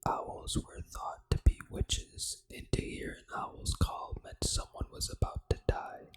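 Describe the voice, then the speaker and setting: A video game ASMR host delivers everything in a hushed whisper. The voice is breathy and fully unvoiced, with no normal speaking tone. The reading is soft, deliberate and unhurried.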